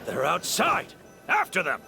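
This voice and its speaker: A bumbling guard commander, with an extremely high, creaky voice that leaps about wildly. He is officious and flustered, barking orders in cartoonish game style over music. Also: wildly swooping pitch, measured pace, pushed and bright, exaggerated voice. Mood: commanding. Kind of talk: video game voice acting.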